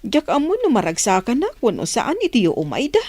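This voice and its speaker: A woman's voice: on the high side, huge pitch swings, brisk, a little mellow, dry recording. A middle-aged woman with an Ilocano accent acting an emotional village mother in a radio drama. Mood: thoughtful.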